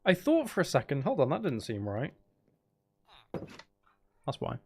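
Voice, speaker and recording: A man's voice: on the high side, wildly swooping pitch, unhurried, darkish tone, slight background noise. A man hosting a casual gaming livestream, chatting in a playful, teasing, rambling way and sounding a little tired. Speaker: livestream host.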